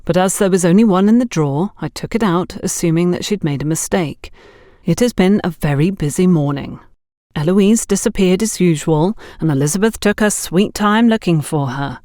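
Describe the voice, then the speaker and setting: A woman's voice: fairly low pitch, moderate pace, dark tone, no echo, clean studio sound, close to an everyday voice. Woman acting a stern, blaming supervisor. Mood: scolding. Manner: scolding, pointed.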